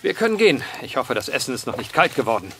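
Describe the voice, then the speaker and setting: An actor playing a wry, polite journalist who poses as a farmhand, speaking eloquently in a German audio drama. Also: male voice, medium pitch, sing-song, fast talker, a bit bright, a little hiss or hum. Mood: polite, droll. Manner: eloquent, dryly ironic, polite. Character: wry truth-seeking journalist posing as clumsy farmhand.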